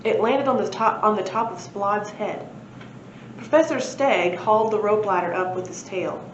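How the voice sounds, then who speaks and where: A woman's voice: medium pitch, moderate pace. Woman reading a storybook, roomy dull recording. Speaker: library read-aloud presenter. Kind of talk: expressive storybook read-aloud narration. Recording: roomy, dull lo-fi, faint noise.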